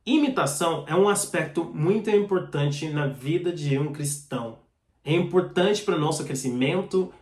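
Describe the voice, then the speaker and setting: A man's voice: on the high side, a little mellow. A man preaching a sermon in Portuguese with a foreign accent. He teaches in an earnest, direct way and reads out scripture verses. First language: not Portuguese. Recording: echoey room.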